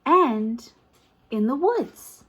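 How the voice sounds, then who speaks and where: Slow, sing-song woman reading to toddlers. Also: sits high, sing-song, breathy edge, lo-fi sound. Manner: sing-song encouraging questions to young children. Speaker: children's teacher reading storybook aloud. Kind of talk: interactive picture-book read-aloud for toddlers.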